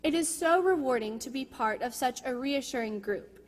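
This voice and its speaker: A woman's voice: high voice, lively intonation, moderate pace. A teenage young woman reading a prepared personal testimonial aloud in a church service. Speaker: youth member giving a testimonial. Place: large indoor church sanctuary. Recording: reverberant church hall.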